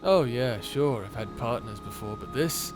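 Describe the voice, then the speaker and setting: A man's voice: medium pitch, lively intonation, measured pace, natural voice. A man playing a flirty, self-deprecating space pirate captain in an intimate audio roleplay, with a hesitant, nervously laughing manner. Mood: nervous, tender. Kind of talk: intimate ASMR roleplay acting. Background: music.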